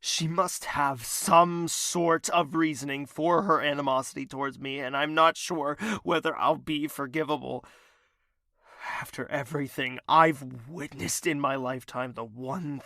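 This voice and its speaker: Guy acting as lovesick stubborn prince, audio drama, earnest n melodramatic, forceful bright voice, real dry sound. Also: fairly high pitch, fairly even intonation, a little slow, no echo. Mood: anguished, wounded.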